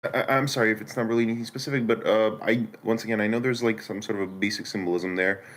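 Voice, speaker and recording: A man with a somewhat low voice talks casually on an online call. He sounds unsure of himself, speaking haltingly and apologetically. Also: steady tone, fairly bright tone. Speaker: newcomer participant in feedback session. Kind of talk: hesitant casual remarks. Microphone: online voice meeting.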